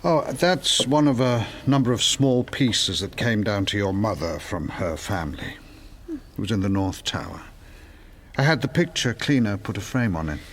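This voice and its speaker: An actor playing a reserved, protective middle-aged father in a gothic audio drama, measured and a little distracted. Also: fairly low pitch, animated, slight background noise. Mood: mild, distracted.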